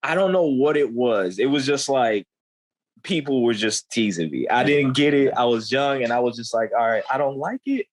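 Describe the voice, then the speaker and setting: A man's voice: mid-range voice, animated, moderate pace. A young man in his twenties speaks in a middle register, chatting candidly as an interview guest. His talk is loose and slangy, full of "you know what I'm saying," and his stories run long. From United States. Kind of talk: candid conversational storytelling.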